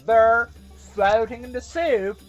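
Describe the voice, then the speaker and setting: A woman's voice: on the high side, takes it slowly, highs cut off, soft background music. A woman from Iran telling stories for children in a dramatic, playful way, with casual asides. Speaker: storyteller and host.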